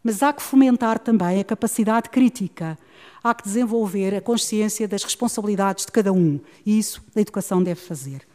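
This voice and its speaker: A middle-aged woman with a European Portuguese accent, giving a measured, academic talk on a book about education. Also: medium pitch, warm tone. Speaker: speaker presenting a book on education. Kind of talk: formal talk followed by discussion. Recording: long reverb of a hall.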